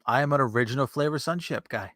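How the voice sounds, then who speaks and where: Male podcast host, mid-pitched, informal and talkative, playful debater, close dry mic. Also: fast talker, sharp tone, dry recording. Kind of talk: casual chat, bantering. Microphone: close USB desk microphone on an arm with pop filter.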